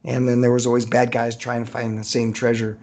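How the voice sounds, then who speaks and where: A middle-aged man speaks with a gravelly, fry-laden voice in a casual interview. He is an easygoing storyteller who often halts and searches for his words. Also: mid-range voice, monotone, fairly quick, very noisy. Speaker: author being interviewed.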